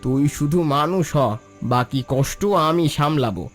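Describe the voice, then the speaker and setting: A man's voice: a little high, expressive, slow, soft background music. A man voicing a poor, long-suffering fisherman in a cartoon, earnest and dignified. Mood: weary resolve. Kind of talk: scripted cartoon dialogue.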